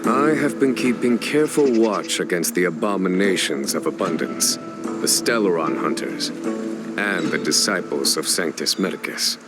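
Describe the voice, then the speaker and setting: A grave ageless general. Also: male voice, sits low, expressive. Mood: solemn. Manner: solemn, measured, formal speech. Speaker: military general of a starship. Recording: game dialogue over loud music.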